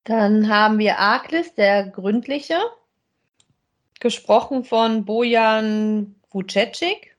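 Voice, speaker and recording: A woman with a fairly high voice co-hosts a review podcast. She speaks informally, in the conversational style of a chat about audio dramas. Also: slow, highs cut off. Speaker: podcast co-host.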